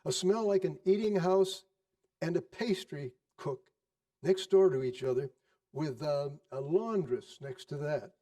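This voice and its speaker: Male storyteller, fairly high pitch, mellow tone, dramatic deliberate phrasing, theatrical narration, slightly distant microphone.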